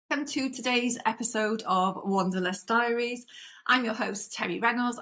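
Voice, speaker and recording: A woman's voice: medium pitch, a bit bright. A woman hosting a travel podcast, reading an upbeat intro and then chatting encouragingly with her guest. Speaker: podcast host. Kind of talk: scripted intro, then interview chat.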